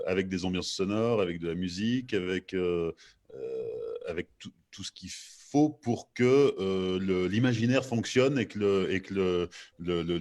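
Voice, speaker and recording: Low-voiced male moderator. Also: darkish tone. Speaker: host, moderator. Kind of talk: moderating a panel discussion.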